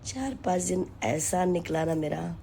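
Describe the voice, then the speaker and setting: A hoarse woman with a sore throat, chatting to her followers from home in a weary, sighing way. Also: fairly low pitch, expressive, dark tone, slight background noise. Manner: slow, weary, sighing between lines. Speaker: vlogger and home tailor. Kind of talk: casual chat to camera. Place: at home, taking steam.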